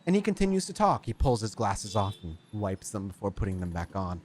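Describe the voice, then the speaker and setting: A man narrating as game master of a tabletop game, describing scenes in a steady, detailed way. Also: fairly low pitch, brisk, slight background noise.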